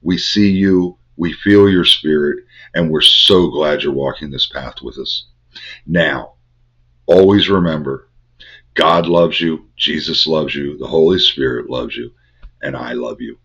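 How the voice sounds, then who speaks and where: An older man with a head cold, hosting a Bible study and teaching in measured, incantatory short phrases. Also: very deep voice, a bit bright, breathy edge. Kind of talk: spiritual teaching monologue. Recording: dull lo-fi recording.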